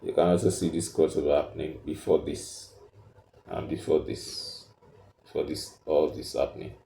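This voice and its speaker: A man giving an unscripted step-by-step tutorial in a halting, repetitive, instructional way, recorded in an echoey room. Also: extremely low pitch, fairly even intonation, warm tone, slight background noise. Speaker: trading tutorial presenter.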